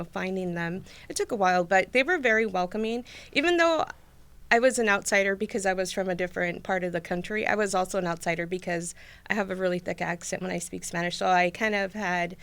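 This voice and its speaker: A woman guest in a conversational interview, talking thoughtfully with many halting restarts. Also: medium pitch, vocal fry, a bit bright, clean tone, no echo.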